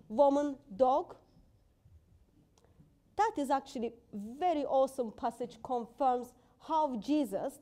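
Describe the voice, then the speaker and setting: Woman, 30s, high voice, English as a second language, assertive debater indoors. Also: a little mellow. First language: not English. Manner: assertive, challenging, non-native phrasing. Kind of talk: live debate rebuttal.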